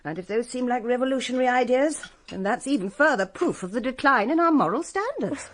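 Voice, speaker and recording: An actress playing a prim, moralising English spinster, pompous and disapproving, in a radio drama. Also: female voice, sits high, expressive, brisk.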